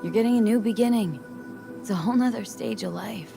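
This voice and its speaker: A woman's voice: mid-range voice, brisk, vocal fry, music in the background, natural voice. An actress playing a young female mercenary in a video game, speaking in a casual, clipped, wry way. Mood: reassuring, reflective.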